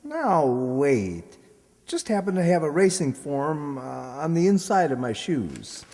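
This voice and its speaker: A man acts out a scheming small-time American gambler in a radio comedy. He talks slangily and unhurriedly in a highish, mellow voice. Long hall reverb surrounds him. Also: sing-song, full character voice. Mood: sardonic. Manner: slangy wise guy, drawn-out delivery. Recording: echoey hall recording.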